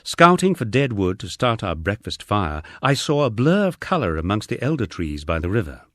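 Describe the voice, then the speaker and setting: A man narrating a first-person memoir as an audiobook, reading in a measured, expressive storytelling manner. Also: lowish voice, no echo, clean studio sound.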